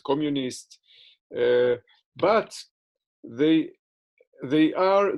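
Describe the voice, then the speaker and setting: Hesitant man with a gravelly voice. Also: mid-range voice. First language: not English. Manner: hesitant, many fillers and restarts. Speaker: interviewee. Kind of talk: casual interview answers.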